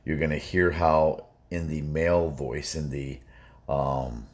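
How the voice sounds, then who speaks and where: Very deep-voiced man rambling casually. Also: steady tone, slow. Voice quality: low baritone voice. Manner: rambling and emphatic, many fillers. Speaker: music reaction video host. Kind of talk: casual reaction commentary.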